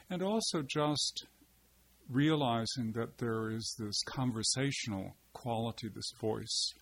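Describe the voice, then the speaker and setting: A man, a poet, answering interview questions in a halting, reflective way, often pausing with fillers and restarting his sentences as he searches for words. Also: mid-range voice, slight background noise. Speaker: poet and translator, interview guest. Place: seated at a table.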